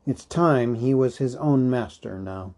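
A man's voice: mid-range voice, measured pace, darkish tone, natural voice. A man voicing an elderly hobbit in a storybook reading, fretful, stubborn and possessive, with a querulous, insistent manner. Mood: wistful, resolute.

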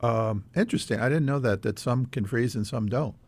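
A man's voice: medium pitch, fairly quick, dry recording. An American man hosting a casual interview, speaking in a curious, conversational way. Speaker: host.

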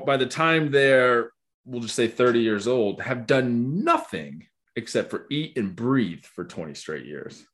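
A man hosting a podcast, asking questions and musing aloud in a chatty, loose way with fillers. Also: medium pitch. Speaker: podcast host. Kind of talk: casual interview.

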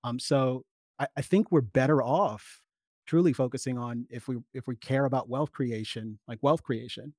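Measured man explaining, dry recording. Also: medium pitch, darkish tone, no echo. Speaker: interview guest. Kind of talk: conversational argument, explanatory.

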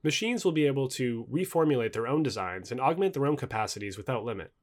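A man reading non-fiction in a scripted audiobook style, with formal, clearly enunciated phrasing. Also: mid-range voice, expressive, fast. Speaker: reader of a non-fiction book.

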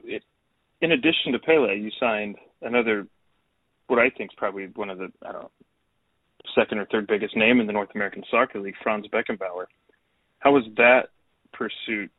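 A man's voice: lowish voice, brisk, pauses often, no room sound. A man hosting a podcast, asking long follow-up questions of his guest. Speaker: podcast host. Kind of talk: interviewer questions. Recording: dry, tinny phone line.

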